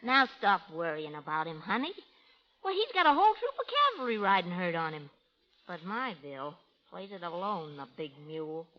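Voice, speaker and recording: A woman's voice: fairly low pitch, sing-song, moderate pace, a little hiss or hum. An American actress playing a brash, tough, fearless frontier cowgirl with a folksy drawl, in 1930s radio drama style. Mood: gruff, teasing. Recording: muffled old radio recording.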